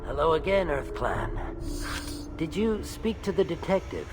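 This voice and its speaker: A man's voice: on the high side, big pitch swings, a little slow, over music. A man acting as a shifty, nervous alien merchant in a video game, with a wheedling, hesitant, theatrical delivery. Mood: feigned innocence.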